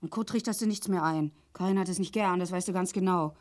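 Actress as a nagging, scornful middle-aged East Berlin wife. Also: female voice, fairly low pitch, quick pace, a little mellow, close to an everyday voice. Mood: reproachful. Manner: scolding, needling. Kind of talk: grotesque German radio play acting.

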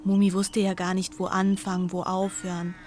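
A woman's voice: medium pitch, completely flat delivery, normal speed, a little mellow, slight background noise. An older woman, a former housemaid, recalling the past in an archival interview in a matter-of-fact way.

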